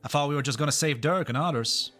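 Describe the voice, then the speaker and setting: An amateur actor playing a loyal, rough-edged pioneer underling in a dramatic game fan dub. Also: male voice, a little high, quick pace, bright, forceful tone, soft background music. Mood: teasing, puzzled.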